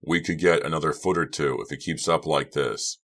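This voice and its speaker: A very low-pitched, macho young man acted by a male narrator in an audiobook. He is loud and emphatic, with a defensive, easily riled temper. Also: steady tone, moderate pace. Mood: resigned. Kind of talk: audiobook character voice.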